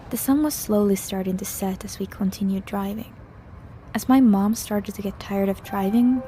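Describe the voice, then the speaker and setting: A woman with a mid-range voice narrates a scary first-person story from a script. Music plays clearly behind her words. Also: medium pitch. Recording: loud background music.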